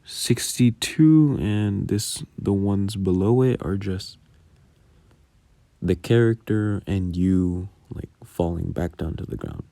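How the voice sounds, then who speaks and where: Low, creaky-voiced man rambling casually. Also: slow pace, dark tone, no room sound. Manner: rambling, self-deprecating, casually sweary. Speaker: audio roleplay creator. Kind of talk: casual behind-the-scenes commentary.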